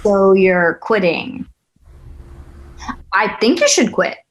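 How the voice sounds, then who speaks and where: Slow-paced, brash young girlfriend played by an actress. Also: medium pitch, big pitch swings. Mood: exasperated, goading. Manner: brash, foul-mouthed banter. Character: Ames, blunt, teasing, eager young girlfriend. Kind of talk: screenplay table read.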